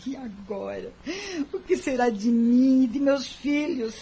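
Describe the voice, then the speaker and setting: A woman's voice: fairly high pitch, a little slow, warm tone, lo-fi sound. A woman playing a poor, hardworking and devout mother in an audio drama. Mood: grieving, tearful.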